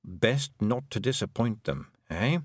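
A man's voice: expressive, a little slow, dry recording, clean studio sound. A man doing a murmuring, knowing toad. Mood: dry. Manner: low confiding murmur. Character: dry, knowing talking toad, male.

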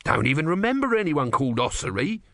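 Man voicing a grumpy, sardonic little tortoise god, animated. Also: a little high, fast, dry recording, clean studio sound, pitched above the performer's own voice. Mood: grumpy, evasive. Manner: evasive, sardonic, grumbling. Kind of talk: dramatised storybook reading.

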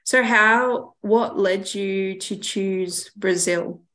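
A woman's voice: medium pitch, drawn-out words, pushed and bright. A woman interviewer in a casual conversation about faith, speaking in an encouraging, affirming way.

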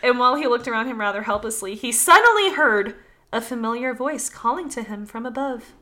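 A woman with a fairly high voice tells a story as a podcast co-host. She is irreverent and sweary, slipping between reading narration and casual, joking asides. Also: expressive, fairly quick, sharp tone. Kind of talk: casual comedic storytelling with banter.

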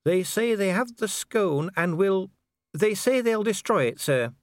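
Guy doing a deferential lady dwarf corporal for a storybook, high voice, polite and a bit unsure. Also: expressive, measured pace, no echo, studio quality. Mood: polite, hesitant. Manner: polite, hesitant. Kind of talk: audiobook character acting.

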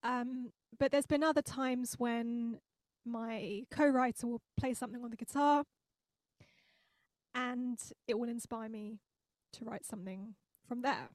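A woman's voice: fairly high pitch, a little slow, dry recording. A young adult woman singer-songwriter chatting in an interview from her home studio, laughing often and sounding grateful. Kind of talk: casual interview chat. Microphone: studio mic.

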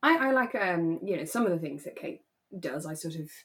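A woman with a slightly low voice co-hosts a relaxed review podcast. She talks casually but earnestly, stressing her points and affirming what she values. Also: a little fast. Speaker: podcast co-host. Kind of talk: casual chat reviewing a radio drama.

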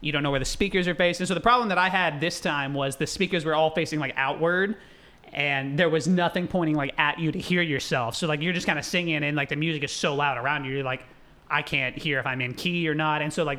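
A man's voice: on the high side, fast, pushed and bright. A tipsy young man co-hosting a podcast, chatting casually and rambling with fillers, laughs and swearing. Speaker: podcast co-host. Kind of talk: casual drunken chat.

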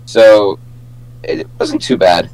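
A man's voice: low voice, takes it slowly, a little mellow, clear, resonant tone, rough recording. A young man co-hosting a podcast, chatting casually and telling stories among friends. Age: young adult. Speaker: podcast co-host.

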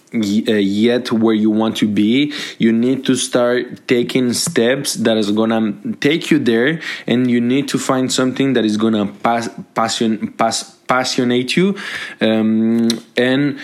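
A young Colombian man hosting a casual solo podcast, talking in an upbeat, motivational way into a microphone, with many restarts and fillers. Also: mid-range voice. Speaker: podcast host.

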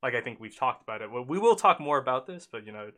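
A man's voice: medium pitch, very animated, fast, pushed and bright. A young man from Oakland co-hosting a podcast, talking casually in loose, rambling sentences full of fillers and restarts. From Oakland, California. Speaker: podcast co-host.

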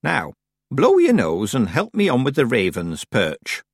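A man voicing a very old, sharp-tongued village witch woman who bosses people about in an imperious, fussy way. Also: mid-range voice, wildly swooping pitch, a little slow, no echo, studio quality, full character voice. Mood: brisk.

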